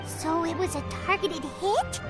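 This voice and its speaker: A woman voicing a tiny floating female companion creature, excitable and bouncy, in a video game. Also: extremely high pitch, unhurried. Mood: concerned. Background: game music.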